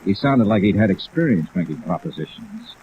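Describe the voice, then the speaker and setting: A man's voice: fairly low pitch, huge pitch swings, brisk, phone-quality sound. An actor playing a tough, wry male insurance investigator in 1950s radio style, sardonic and clipped. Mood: dry, pressing. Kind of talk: 1950s radio detective acting and first-person narration. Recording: band-limited old radio recording, rain noise.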